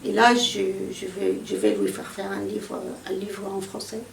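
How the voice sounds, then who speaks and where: Egyptian woman, hesitant and gravelly. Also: mid-range voice, big pitch swings, measured pace. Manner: hesitant, many fillers and restarts. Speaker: poet interviewed. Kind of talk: casual conversational interview. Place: outdoor poetry fair in a city square. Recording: echoey, from a distance, faint outdoor noise.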